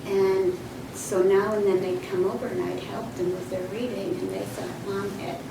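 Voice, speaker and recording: A woman in her sixties, born in Poland with Polish as her first language, telling a halting, reflective childhood story in an interview. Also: mid-range voice, little pitch movement, creaky. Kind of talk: oral history recollection. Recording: echoey room, distant mic, background noise.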